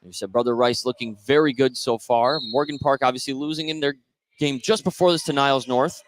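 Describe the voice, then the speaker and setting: A man doing live basketball play-by-play as the announcer, energetic and lifting his voice on scoring plays. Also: mid-range voice, fairly quick. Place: indoor gym at basketball tournament. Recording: noisy gym ambience behind voice. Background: gym ambience.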